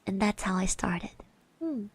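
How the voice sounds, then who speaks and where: Woman as a slow, soft-spoken sweet girl classmate. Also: medium pitch, animated, slightly rough. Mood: fond reminiscing. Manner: hesitant, gentle, refers to herself in third person. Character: shy, sweet, chatty hockey-playing girl classmate. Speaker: ASMR roleplay streamer. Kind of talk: soft-spoken ASMR roleplay.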